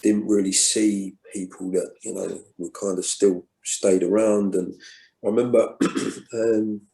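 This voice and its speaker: Male guest, low warm voice, muses slowly with lots of restarts, echoey room. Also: little pitch movement, gravelly. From England. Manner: thoughtful, many restarts and fillers. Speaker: guest poet. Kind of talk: reflective conversation.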